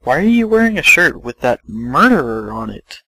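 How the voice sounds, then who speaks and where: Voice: medium pitch, big pitch swings, normal speed, sharp tone, heavily acted, poor audio. A performer playing a prim, timid, doubtful teenage schoolgirl in an amateur comedic reading. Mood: doubtful, worried.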